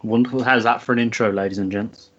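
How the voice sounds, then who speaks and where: A man's voice: lowish voice, fairly even intonation, quick pace, fairly bright tone. A man with a Birmingham accent hosting a podcast, asking questions in a wry, joking way. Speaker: podcast host. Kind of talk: casual interview chat. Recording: dull lo-fi sound from off-mic.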